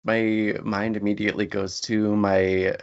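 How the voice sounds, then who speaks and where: A writer talks thoughtfully as an interview guest. The voice sits low with plenty of creak in it. The sound is a little dull and lo-fi. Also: level tone, unhurried. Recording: dull lo-fi audio.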